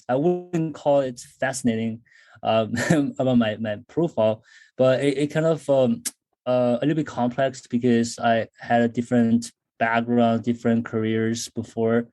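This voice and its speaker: A man in his thirties, originally from China, talks through his career as a podcast guest. His voice is mellow and relaxed, sitting at an ordinary pitch. He pauses often, filling gaps with ums and starting sentences over. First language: Chinese. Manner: thoughtful, frequent fillers and restarts. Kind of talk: casual interview talk.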